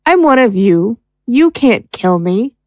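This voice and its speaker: A woman acts a defiant, gloating turncoat in an audio drama. She speaks slowly with a spiteful sneer. The sound is close and dry. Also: fairly high pitch, very animated, dry recording, exaggerated voice. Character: spiteful, gloating, foul-mouthed female turncoat villain.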